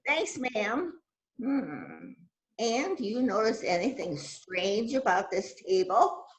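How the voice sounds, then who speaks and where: A woman's voice: medium pitch, big pitch swings. A woman playing a self-assured, dramatic detective who bosses an assistant around in an amateur mystery play. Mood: probing, skeptical. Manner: deliberate, dramatic, musing. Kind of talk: amateur readers theater, radio mystery acting.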